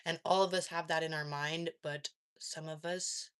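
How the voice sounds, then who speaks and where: A woman's voice: low voice, fairly even intonation, moderate pace, clean tone. A woman hosting a casual live stream, reading chat and asking probing follow-up questions, with frequent laughs and fillers. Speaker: livestream host reading chat.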